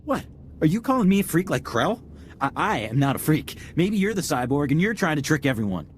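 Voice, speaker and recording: A man's voice: on the high side, sing-song, fairly quick, slight background noise. A man playing a jittery, defensive civilian who stammers in panic. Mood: panicky.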